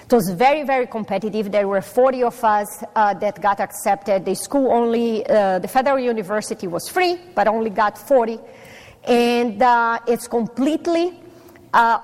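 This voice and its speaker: A middle-aged Brazilian woman with a Portuguese accent addresses a live audience, chatty and self-deprecating, pausing on fillers. Her voice sits fairly high for a woman. The long reverb of a conference hall trails after each phrase. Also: a little mellow. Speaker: conference speaker. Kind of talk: personal talk to a live audience.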